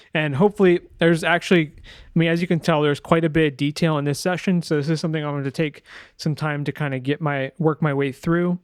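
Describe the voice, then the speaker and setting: Higher-voiced man, smooth and clear, casual tutorial. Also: no room sound, studio quality. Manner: informal, thinking aloud, self-correcting. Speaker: sound designer presenting a tutorial. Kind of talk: casual screen-share software walkthrough.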